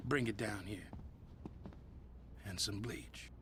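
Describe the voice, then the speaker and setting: An actor playing an aggrieved, menacing American crime boss in a video game, deliberate and threatening. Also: male voice, fairly low pitch, noisy background. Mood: cold menace. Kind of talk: cinematic video game acting.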